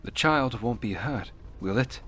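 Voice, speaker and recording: A man's voice: medium pitch, a little slow, music in the background, close to an everyday voice. An actor playing an earnest young male warrior hero in a fantasy game, with a plain, serious, questioning manner. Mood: wary. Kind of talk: dramatic fantasy game dialogue.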